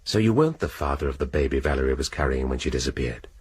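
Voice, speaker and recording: A gruff, sardonic police inspector in a radio drama, talking bluntly with a dry edge. His voice sits very low and comes across unusually clean and clear. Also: animated, quick pace, full character voice. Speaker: police detective.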